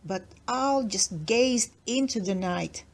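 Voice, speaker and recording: A woman narrating a children's picture book aloud in an expressive storytelling manner. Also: medium pitch, slow.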